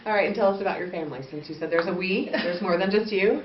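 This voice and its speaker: A woman's voice: medium pitch, quick pace, crowd noise. Chatty woman interviewer in an echoey room. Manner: friendly, chatty, laughs easily. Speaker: interviewer, host. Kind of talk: interview questions. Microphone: far from the mic. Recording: distant, echoey, muffled with hiss.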